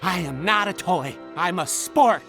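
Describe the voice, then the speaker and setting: A man voicing a naive, stubborn homemade spork toy with a blunt, panicky, insistent manner, in animated storybook dialogue. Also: high-pitched, a little slow, full character voice. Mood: defiant. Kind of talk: animated film character dialogue. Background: music.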